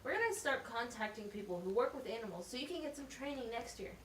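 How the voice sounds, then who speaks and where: A woman's voice: on the high side, lively intonation, fast, a bit bright. A woman voicing an encouraging, methodical teacher in a scripted puppet show, explaining things plainly and asking questions. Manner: instructive, question-led, plain wording. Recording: roomy, distant mic, faint noise.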